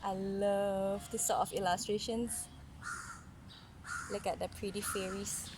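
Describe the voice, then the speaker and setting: A woman reading a picture book aloud to a young child, with gentle, encouraging, chatty asides to explain the pictures. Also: mid-range voice, flat delivery, slow pace. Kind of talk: casual read-aloud storytelling with chatty asides. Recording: noisy recording with vehicle-like background hum.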